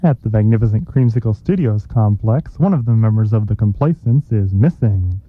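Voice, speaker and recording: A man's voice: fairly low pitch, expressive, normal speed, soft background music. A man announcing and narrating a sketch show on college radio. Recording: thin telephone-like old radio recording.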